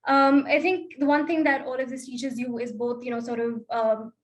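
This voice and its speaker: Teenage girl, student panelist, fairly high voice, articulate, lists points, uh fillers. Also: little pitch movement, moderate pace. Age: teens. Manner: articulate, listy, many fillers. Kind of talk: answering panel questions.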